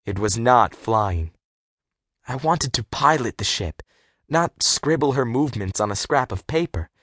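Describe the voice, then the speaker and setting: A man narrating a first-person adventure story for young readers, expressive and clearly articulated, as a scripted audiobook. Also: mid-range voice, measured pace, dry recording, clean studio sound.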